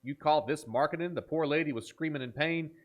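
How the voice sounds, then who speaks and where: A man's voice: a little high, fairly quick. A man hosting a horror reaction video, talking casually and enthusiastically, joking around and reading captions aloud. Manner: animated, jokey, enthusiastic.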